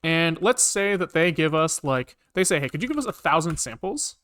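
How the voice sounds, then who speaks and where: A man's voice: fairly high pitch, animated, normal speed, a bit bright. A man talking casually to himself as he works through code on a live stream, informal and muttering. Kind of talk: casual think-aloud live coding commentary.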